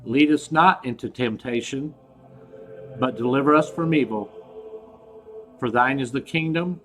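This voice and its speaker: A man leading prayer in a measured, reverent, solemn recitation. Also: medium pitch, fairly bright tone, soft background music, low-quality recording. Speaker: prayer leader.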